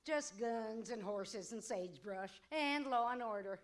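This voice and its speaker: A woman's voice: on the high side, animated, measured pace. A woman playing a bossy, teasing older mother in an old-time radio Western comedy, with folksy, scolding talk. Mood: exasperated, nagging.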